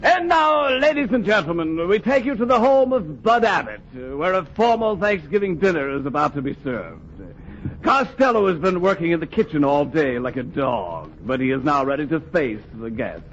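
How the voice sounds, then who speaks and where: A man's voice: a little high, big pitch swings, moderate pace, fairly bright tone. A man announcing a comedy and music show in a 1940s radio style. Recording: dull lo-fi old radio recording.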